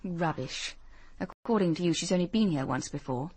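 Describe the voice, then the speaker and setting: A woman's voice: on the low side, lively intonation, moderate pace, a little mellow, breathy edge. A woman playing a determined young female detective in a British radio drama, English accent, firm and direct. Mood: firm, challenging. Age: young adult.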